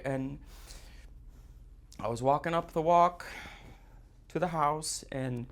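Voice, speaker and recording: An actor playing a distraught, guilt-ridden man on stage, confessing in halting, repetitive, stammered phrases in a live theatre monologue. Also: a little high, a bit bright. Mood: haunted, hesitant. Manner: halting, stammering, repeating himself.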